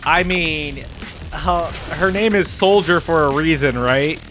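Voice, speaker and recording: A man's voice: a little high, slow, fairly bright tone, soft background music. A man giving casual, enthusiastic reaction commentary as a video creator, chatty and informal.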